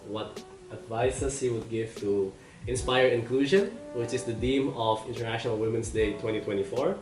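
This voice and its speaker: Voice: mid-range voice, steady tone, moderate pace. Interview host in an echoey room with music. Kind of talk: conversational interview hosting. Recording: echoey room, distant mic, background music.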